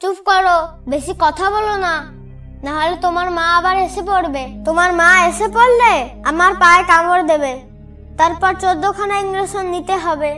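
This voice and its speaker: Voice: very high-pitched, normal speed, bright, forceful tone, recorded from a distance, soft background music. A performer voicing a curious, chatty young village child in a cartoon. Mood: curious, tender. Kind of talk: Bengali cartoon voice acting.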